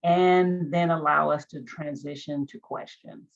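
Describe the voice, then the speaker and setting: A woman moderates an online event in a casual, tentative manner. Her voice is on the low side, and it comes through a dull, low-fidelity video call. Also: steady tone, unhurried, creaky.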